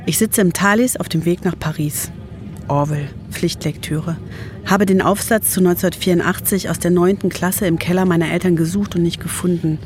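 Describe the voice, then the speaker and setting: A woman's voice: on the low side, fairly even intonation, moderate pace, darkish tone, faint music behind. Woman in her forties narrating personally. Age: 40s. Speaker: radio documentary author and narrator. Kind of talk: first-person scripted narration with phone calls.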